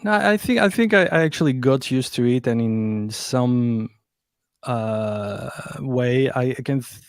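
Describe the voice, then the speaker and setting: A man's voice: medium pitch, unhurried, clear, resonant tone, no echo. A man with a French accent, a guest on a podcast, speaking casually from his home studio over a video call and rambling with many fillers. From France. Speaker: guest DJ and producer.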